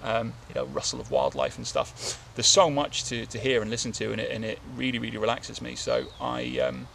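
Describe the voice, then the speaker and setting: A man in his thirties chats to the camera from a camp under a tarp, with a gravelly edge to his mid-pitched voice. He talks in a relaxed, meandering way, full of ums and restarts. Faint outdoor hiss sits behind him. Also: brisk. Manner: rambling, relaxed, many fillers and restarts. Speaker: bushcraft vlogger. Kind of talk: casual talk to camera. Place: outdoors in winter woodland, seated under a tarp. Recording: light outdoor background noise.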